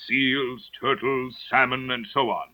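A man's voice: mid-range voice, steady tone, unhurried, pushed and bright. A man playing a melancholy, sentimental Mock Turtle in a storybook dramatisation, with a mournful, theatrical, wistful manner. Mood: wistful explaining. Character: melancholy, sentimental old male Mock Turtle, prone to sobbing. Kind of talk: audiobook dramatisation acting. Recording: dull band-limited old recording.

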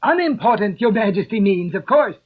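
A man's voice: high voice, expressive, a little fast, breathy edge, phone-quality sound, pitched above the performer's own voice. A man voicing a nervous, fussy male rabbit courtier who speaks in a polite, deferential way. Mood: hurried, deferential. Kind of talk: audiobook character voice.

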